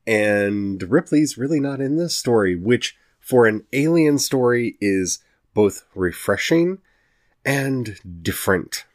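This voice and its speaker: A man's voice: mid-range voice, expressive, slow. A man hosting a solo podcast, giving a casual, enthusiastic and emphatic review. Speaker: podcast host.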